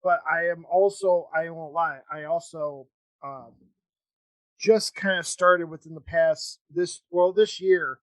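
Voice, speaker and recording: A man hosting a casual interview chat, talking in a halting, rambling way with false starts. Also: a little high, dry recording, poor audio. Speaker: podcast host.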